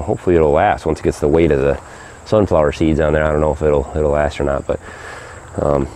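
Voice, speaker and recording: Low-voiced middle-aged man, easygoing outdoor garden vlog on a handheld camera, crickets in the background. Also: dark tone, a little hiss or hum. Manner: rambling, easygoing, many fillers and restarts. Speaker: gardening vlogger. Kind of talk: casual walking garden tour. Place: backyard vegetable garden outdoors. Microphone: handheld camera mic. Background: crickets and insects.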